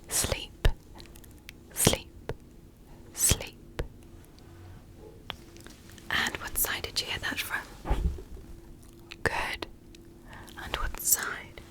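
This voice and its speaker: A woman whispering softly and breathily in a gentle, reassuring ASMR roleplay as a doctor giving an exam. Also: poor audio. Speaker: ASMR performer playing an ear doctor.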